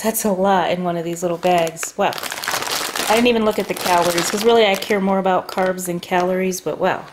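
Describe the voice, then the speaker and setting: A woman chats slowly and easily as she shows off her groceries at a kitchen table, filling pauses with little ums. Her voice sits at an ordinary pitch, and faint package crinkling sounds in the background. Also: noisy background. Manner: chatty, unhurried, many ums. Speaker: vlogger. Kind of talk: casual food haul narration.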